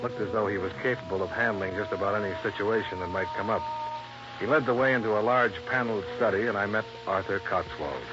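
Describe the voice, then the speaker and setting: An actor playing a wry, hardboiled American investigator, dry and wisecracking, in old radio detective drama. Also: male voice, lowish voice, quick pace, over music, natural voice. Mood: wry narrating. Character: wry, hardboiled American insurance investigator narrating his case. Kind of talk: 1950s radio detective narration and dialogue. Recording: muffled old radio recording.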